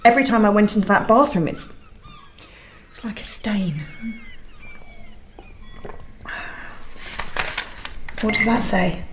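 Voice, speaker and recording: An actress playing an anxious, suspicious young wife in a radio drama, fretful and questioning. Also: female voice, medium pitch, normal speed, a little hiss or hum, close to an everyday voice.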